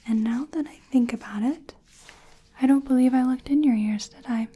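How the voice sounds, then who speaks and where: A woman voicing a gentle, attentive clinician in a soft-spoken ASMR medical roleplay, breathy and often whispering, with a reassuring manner. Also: high voice, normal speed. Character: gentle, attentive female clinician giving a check-up.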